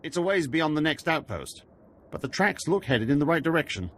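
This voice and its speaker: Animated, folksy army engineer. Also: male voice, fairly high pitch, a little fast, slight background noise. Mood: reporting, businesslike. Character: loyal, folksy military engineer, easygoing and respectful. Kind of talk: video game voice acting.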